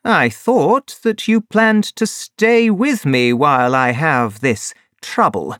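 A reader voicing an anxious gentleman who asks his friends to stay. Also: on the high side, animated, slow, no echo, studio quality, pitched above the performer's own voice. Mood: worried. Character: anxious gentleman host, worried.

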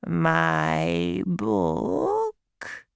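A woman voicing a sly old female fairy in a storybook, with a croaky, drowsy, drawn-out delivery. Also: on the high side, big pitch swings, dry recording, studio quality, pitched above the performer's own voice, heavily acted. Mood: wary, threatening. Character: sleepy, sly old female fairy creature, bitter drinker.

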